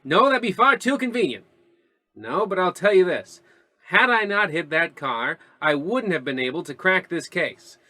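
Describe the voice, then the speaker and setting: A man's voice: high-pitched, big pitch swings, moderate pace, pushed and bright, dry recording, full character voice. A man playing an overconfident, melodramatic police detective in an old-time radio comedy style. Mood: excited, insistent. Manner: melodramatic, emphatic. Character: excitable, overconfident male police detective with absurd logic.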